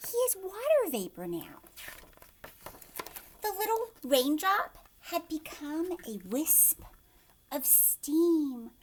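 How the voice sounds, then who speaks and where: A woman with a fairly high voice reads aloud to young children as their teacher. Her pitch moves around a great deal and her pace is very slow. She is expressive, asks the listener questions, and often softens into a hush.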